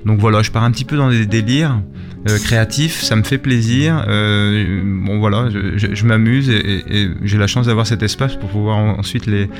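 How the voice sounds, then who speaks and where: A man's voice: fairly low pitch, steady tone, normal speed. A middle-aged man being interviewed in a radio studio, talking conversationally and often repeating words as he searches for his phrasing. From Spain and Belgium, lived in France. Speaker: interview guest, shop and gallery owner, artist. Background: guitar music playing behind the voice.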